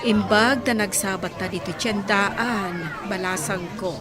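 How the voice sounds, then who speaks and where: A woman's voice: medium pitch, measured pace, over music, crowd noise, lightly acted. A woman playing a worried, doting village mother in radio drama, with an Ilocano accent. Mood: concerned, prodding.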